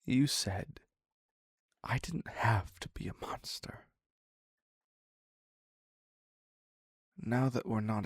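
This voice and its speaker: A man playing an obsessive, needy captor devoted to his captive in an intimate dark romance roleplay, husky and halting, often dropping into a whisper. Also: fairly low pitch, no echo, clean studio sound, heavily acted. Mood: wounded, brooding. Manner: halting, pleading, very slow with long pauses.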